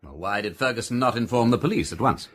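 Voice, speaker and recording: An actor playing a breezy, quick-witted aristocratic gentleman sleuth with an upper-class English accent in a detective radio drama. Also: mid-range voice, animated, husky. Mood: probing.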